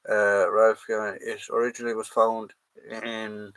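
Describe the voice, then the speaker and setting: A man's voice: on the low side, level tone, a little slow, a bit bright, clean tone, low-quality recording. An Irish man hosting a live chat, casually reading out and answering viewer comments, trailing off and restarting.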